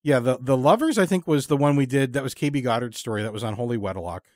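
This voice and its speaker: A man interviewing someone casually as host, in a halting way that repeats words while he searches for the next. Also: mid-range voice, animated, no room sound, studio quality.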